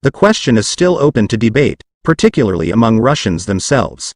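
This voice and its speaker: A man's voice: mid-range voice, big pitch swings, brisk, dry recording, clean studio sound. A man reading practice passages aloud as a test-prep reader.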